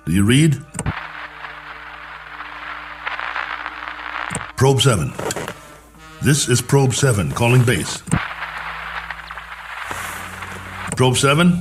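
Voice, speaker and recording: An actor playing a stranded, injured but stoic space colonel in a radio drama, speaking in terse, dramatic lines. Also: male voice, mid-range voice, animated, normal speed, pauses often, music in the background, close to an everyday voice. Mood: insistent. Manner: terse, weary log-keeping and urgent radio calls. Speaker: actor in lead role. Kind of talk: dramatic radio play acting.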